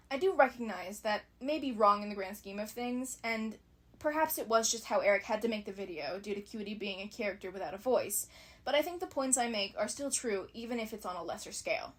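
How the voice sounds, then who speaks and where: A woman giving conversational scripted commentary as a fan video essayist, analytical and opinionated with wry asides. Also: mid-range voice.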